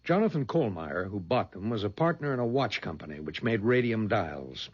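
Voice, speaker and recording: A husky, animated detective boss, muffled radio. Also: male voice, lowish voice, normal speed. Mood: matter-of-fact recounting. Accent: American. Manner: businesslike, explanatory. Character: brisk male head of a detective agency briefing an agent. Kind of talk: 1970s American radio drama acting. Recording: dull lo-fi 1970s radio recording.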